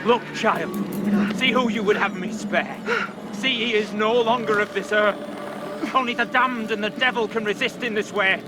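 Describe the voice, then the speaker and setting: An actor playing a fierce, zealous Puritan accuser, declaiming loudly in a period game drama. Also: male voice, high-pitched, normal speed, over loud music, lightly acted. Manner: declamatory, loud. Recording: very loud ambient noise behind.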